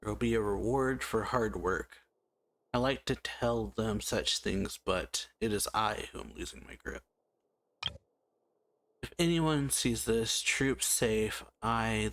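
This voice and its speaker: A man hosting a let's-play, casually commenting and slowly reading game text aloud, with frequent musing hums. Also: lowish voice, vocal fry, fairly bright tone. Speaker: gameplay channel host.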